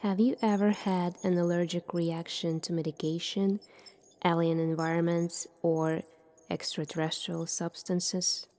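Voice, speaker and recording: A woman in a soft-spoken ASMR roleplay, playing a calm, formal military doctor in a measured, clinical manner. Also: on the low side.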